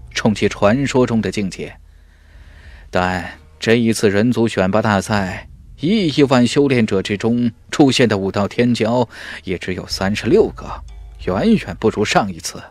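Man voicing a grave, sighing fantasy warrior, slow. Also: fairly low pitch, a little mellow, no echo. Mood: lamenting. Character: male elder warrior, gravely lamenting humanity's decline.

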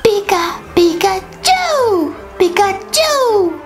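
A tiny, cute cartoon creature with an extremely high squeak. It speaks slowly over music. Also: male voice, big pitch swings, heavily acted. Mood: cute, calling.

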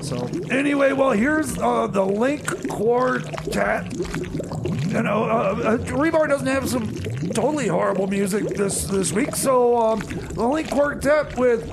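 A man playing an exasperated, halting sidekick and music host in comedy radio theater. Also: extremely high pitch, creaky, heavy background noise, full character voice. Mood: resigned, rambling. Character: exasperated, hesitant male time-machine mechanic's partner.

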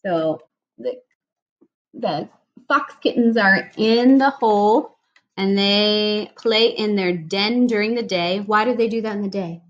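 A woman's voice: mid-range voice, measured pace, lo-fi sound. A woman in her thirties reads a picture book aloud to a young child, stopping to explain each picture patiently in simple words. She sits at a home kitchen table, and a webcam a little way off picks up her voice. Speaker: speech therapist reading to a child. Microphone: laptop or webcam at table distance.

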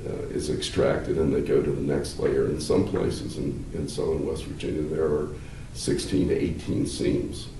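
An older man, a law professor, explaining at length in a measured, halting way with many fillers, seated in a wood-paneled room. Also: very deep voice, flat delivery, mellow. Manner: gestures with hands while talking. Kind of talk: explanatory interview answers. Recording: roomy, slightly distant, faint hiss.

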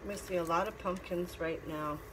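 A middle-aged woman reads a picture book aloud as a teacher, pausing to explain and ask simple questions. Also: fairly low pitch, fairly even intonation. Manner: instructive, asking simple questions. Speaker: teacher reading to children. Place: classroom. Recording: clear background noise.

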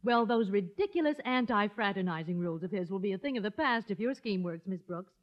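An actress playing a fussy but firm middle-aged wife with an American accent, in 1950s radio sitcom style. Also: female voice, mid-range voice, lively intonation, a little fast, close to an everyday voice. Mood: fluttery. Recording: muffled vintage radio broadcast.

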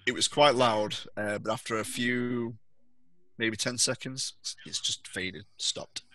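A man narrates a tabletop role-playing game as its game master. His bright, forward voice sits at a middle pitch, delivered casually into a close, dry mic. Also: steady tone, slow, no room sound. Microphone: close mic on a group video call.

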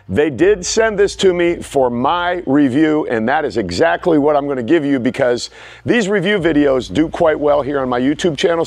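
A man's voice: a little high, no echo. A middle-aged man giving a casual, frank product review of home gym equipment to camera, recorded outdoors in a backyard on a clip-on mic. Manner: plain, frank and explanatory.

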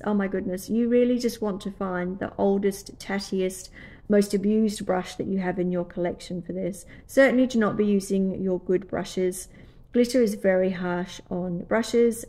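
A woman's voice: mid-range voice, darkish tone. Nasal Australian woman with a cold. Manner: chatty, explanatory, apologetic asides. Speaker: nail tutorial host. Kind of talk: voice-over nail tutorial.

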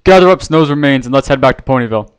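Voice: medium pitch, animated, very fast, clear, resonant tone, close to an everyday voice. A narrator voicing a young stallion guard captain who speaks in firm, clipped orders. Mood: commanding.

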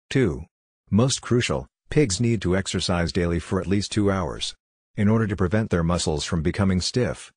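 A man narrating a scripted farming guide in a steady, even reading voice. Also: low voice, husky, no echo, clean studio sound.

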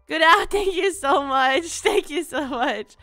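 A young woman streaming casually, with a stuffy nose from allergies, loud and prone to sudden screaming. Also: high-pitched, animated, slow, soft background music. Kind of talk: casual livestream chat.

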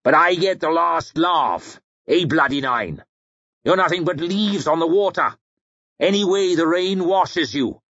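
A man's voice: fairly high pitch, expressive, a little slow, fairly bright tone, dry recording, dull audio. A man voicing a mocking, bitter defeated warlord in an audiobook, taunting and scornful.

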